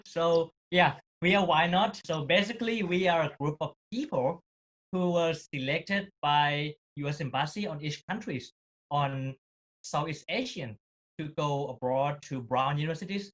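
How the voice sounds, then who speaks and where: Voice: mid-range voice, unhurried. A network co-founder describing the group's start, speaking casually in simple phrasing. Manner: casual, non-native phrasing. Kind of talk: panel conversation.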